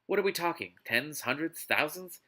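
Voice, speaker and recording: A man's voice: a little high, expressive, moderate pace, sharp tone, pitched above the performer's own voice. A man voicing a giddy, infatuated young woman from a romance novel, incredulous and melodramatic.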